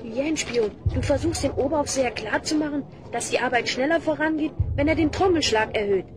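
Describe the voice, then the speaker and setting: Actor playing high-voiced, resolute talking dog. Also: brisk, natural voice. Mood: resolute, urgent. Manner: earnest, commanding, urgent. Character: resolute talking dog leader, earnest and urgent. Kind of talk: children's fantasy radio play acting.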